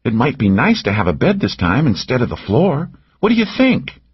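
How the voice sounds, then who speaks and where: A man's voice: medium pitch, normal speed, husky, highs cut off, voice pushed up, full character voice. A man putting on a brash flirty woman. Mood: scheming. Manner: brash, teasing, lively. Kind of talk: audiobook character voice.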